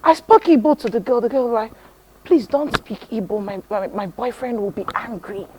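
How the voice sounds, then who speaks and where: A woman from Nigeria hosting a breakfast talk show, chatty, telling anecdotes with quick asides. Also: a little high, noisy background. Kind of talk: studio talk show conversation. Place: TV studio.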